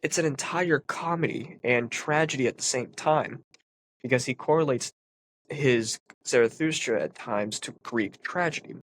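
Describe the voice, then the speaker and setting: A man's voice: medium pitch, moderate pace, fairly bright tone, slight background noise. Congested man explaining philosophy. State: congested, sniffly. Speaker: philosophy commentator. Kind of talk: reading and analysis of a philosophy text.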